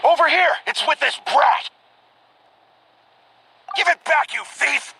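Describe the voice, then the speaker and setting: A man playing an aggressive bandit in a video game, barking threats in a gruff manner. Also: extremely high pitch, slow pace, bright, forceful tone, slight background noise, full character voice. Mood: hostile. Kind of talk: anime-style video game voice acting.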